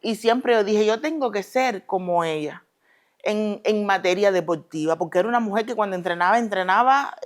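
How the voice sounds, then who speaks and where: A Cuban woman in her thirties, a former judoka, answering interview questions in a deliberate way with many hesitations, turning quick and emphatic when she speaks with pride. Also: mid-range voice. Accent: Cuban Spanish. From Cuba. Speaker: guest, retired Olympic judoka. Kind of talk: relaxed conversational interview answers.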